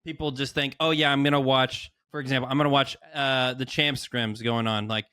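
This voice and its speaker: A man's voice: medium pitch, steady tone, fairly quick, pushed and bright, clear, resonant tone, dry recording, studio quality. A man co-hosting a podcast and interviewing a guest in a casual, chatty style.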